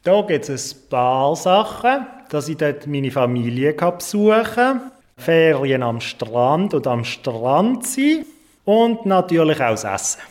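A man's voice: fairly high pitch, sing-song, slow pace. A man, a guest giving plain, matter-of-fact answers in a casual interview. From Switzerland. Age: 20s.

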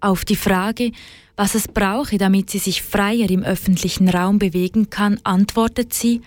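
Reporter, woman, even scripted delivery, dry close mic. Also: mid-range voice, unhurried, no room sound. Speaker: radio reporter. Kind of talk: scripted news narration.

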